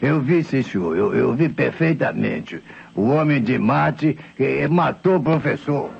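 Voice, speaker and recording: An old Brazilian beggar in a radio mystery, tipsy and rambling. The voice is very rough and gravelly, swinging up and down as he stammers out his humble, insistent account. The recording is old and muffled. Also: mid-range voice, expressive, measured pace, over music. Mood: awed, insistent. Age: older. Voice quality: gravelly, husky. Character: old tipsy male vagabond beggar, frightened, insistent witness.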